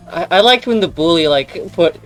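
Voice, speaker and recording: A young man in casual, joking chat with a friend as a let's play co-host, giggly and sweary. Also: sits high.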